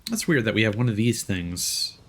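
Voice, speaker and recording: A man's voice: on the low side, very animated, normal speed, a bit bright. A man chatting casually as he commentates on a game for a livestream. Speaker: streamer.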